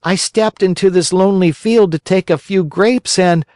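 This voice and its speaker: A man's voice: sits high, normal speed, a little mellow, no room sound, clean studio sound, voice pushed up, close to an everyday voice. A man voicing a frightened young marionette boy in a children's storybook, whining and pleading emphatically.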